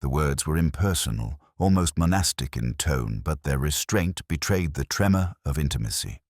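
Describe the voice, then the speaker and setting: Very deep, brooding detective narrator, played by a man. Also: lively intonation, no room sound, studio quality, exaggerated voice. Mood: brooding, reflective. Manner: measured, reflective, literary phrasing. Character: melancholy, introspective male police detective recounting a case. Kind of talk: scripted first-person narration of a detective mystery.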